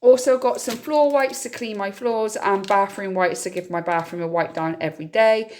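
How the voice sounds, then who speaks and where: A woman's voice: medium pitch, moderate pace, darkish tone. A British woman chatting casually through a grocery haul vlog, sounding slightly nasal and a little scratchy from a cold. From United Kingdom. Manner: chatty, informal, explanatory. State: getting over a cold, slightly scratchy throat. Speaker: vlogger.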